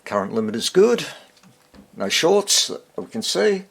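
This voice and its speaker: A man giving casual, unscripted hands-on repair commentary, thinking aloud in short fragments with a husky, gravelly voice. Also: fairly high pitch, big pitch swings, unhurried. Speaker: hobbyist radio repairer demonstrating on video.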